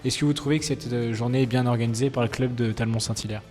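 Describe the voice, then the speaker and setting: A man conducts an on-site interview in a polite, slightly halting way. His voice sits at a medium pitch. The hall around him rings with reverb, and music plays faintly behind. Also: steady tone, darkish tone.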